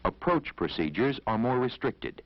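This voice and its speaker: A man with an American accent narrating an instructional film in a formal, measured, authoritative way, on a vintage 1970s soundtrack. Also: mid-range voice, big pitch swings, no room sound, highs cut off.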